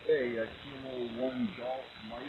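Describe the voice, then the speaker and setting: A man's voice: medium pitch, animated, unhurried, vocal fry. A man making a casual amateur radio call, hesitant and self-effacing, heard over a radio signal. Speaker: amateur radio operator, caller. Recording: muffled ham radio signal with static. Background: faint radio noise.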